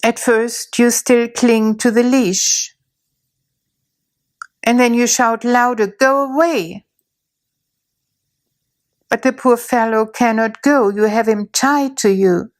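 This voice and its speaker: Slow, soothing woman narrator. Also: fairly high pitch, fairly even intonation. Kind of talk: guided imagery narration.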